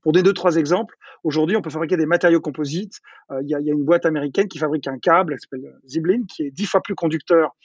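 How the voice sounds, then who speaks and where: A man's voice: fairly high pitch, very fast, breathy edge, no room sound, dull audio. A middle-aged man talking casually in an interview, chatty and full of restarts and repeated words. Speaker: entrepreneur interviewee.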